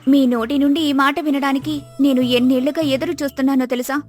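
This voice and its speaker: Woman as wise, devoted storybook wife; high register, gently admonishing, earnest, light music bed. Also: steady tone, fairly quick, close to an everyday voice. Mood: relieved, devoted. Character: patient, devoted, wise village wife of a miser. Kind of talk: Telugu moral story character acting.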